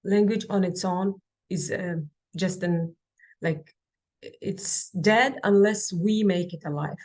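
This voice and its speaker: A woman giving a webinar presentation over a video call. She speaks haltingly and fills pauses with many ums and restarts. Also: lowish voice, darkish tone. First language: Kazakh. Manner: halting, with frequent fillers and restarts. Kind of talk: online presentation and Q&A.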